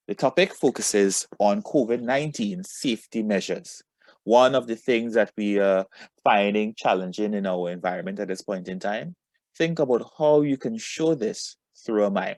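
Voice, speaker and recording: A man speaks as a teacher in a fairly low voice. He guides students with questions and clear instructions, stressing key words in an encouraging way. The sound is dry, with little room echo. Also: moderate pace, a little mellow.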